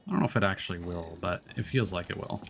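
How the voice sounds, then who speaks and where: Gravelly low-voiced man chatting while gaming. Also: quick pace, a little hiss or hum. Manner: relaxed, mumbling asides to himself and a co-player. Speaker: streamer. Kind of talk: casual gameplay chat.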